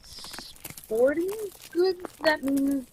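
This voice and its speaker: Woman playing lonely survivor of a catastrophe, high voice, slow halting delivery, melodramatic sighs, radio play. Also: a little hiss or hum. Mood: lonely, desperate. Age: young adult. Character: lonely, despairing lone survivor of a catastrophe, suddenly overjoyed. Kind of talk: radio play table read.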